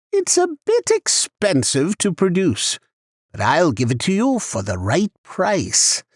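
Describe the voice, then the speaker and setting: An actor voicing a chatty, wry male shopkeeper in a video game, with a theatrical, deliberate sales patter. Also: high voice, dry recording, clean studio sound, full character voice. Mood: musing, solemn. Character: chatty male shopkeeper, wry, easily annoyed arms trader. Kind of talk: scripted video game character lines.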